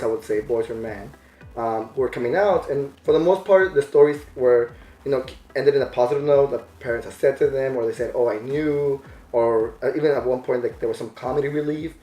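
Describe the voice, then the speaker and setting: A man talking thoughtfully in a casual conversation, pausing often to search for his words. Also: mid-range voice, darkish tone. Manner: thoughtful, hesitant, many fillers. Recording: echoey room with faint music.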